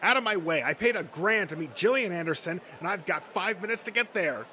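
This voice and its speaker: Man doing a loud, bossy, impatient guy. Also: high voice, lively intonation, a little fast, slight background noise, voice pushed up. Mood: impatient shouting. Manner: barking orders.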